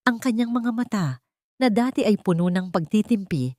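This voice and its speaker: A woman's voice: mid-range voice, normal speed, darkish tone, no echo, clean studio sound. A woman reading a scripted story as an audiobook narrator, in a dramatic storytelling style.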